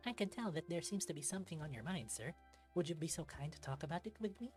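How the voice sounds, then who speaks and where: A young male canine student, as a narrator acts him out, raised in pitch above the storytelling voice. He speaks with smug, formal courtesy, like a visual novel rival, over music. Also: expressive, quick pace, a little mellow, close to an everyday voice. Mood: smugly polite.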